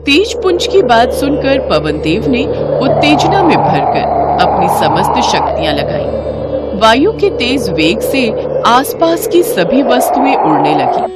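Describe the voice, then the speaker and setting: A woman's voice: fairly high pitch, sing-song, measured pace. A woman narrating a scripted devotional story as a storyteller. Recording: music behind the voice.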